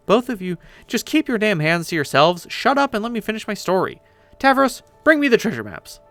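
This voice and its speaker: A man plays a cocky pirate ghost girl from an audio drama. The delivery is very animated and sarcastic, with a gravelly creak at the edges. The manner is impatient and boastful. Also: medium pitch, brisk, no room sound, soft background music. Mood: exasperated, loud. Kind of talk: dramatic fan reading of a web story.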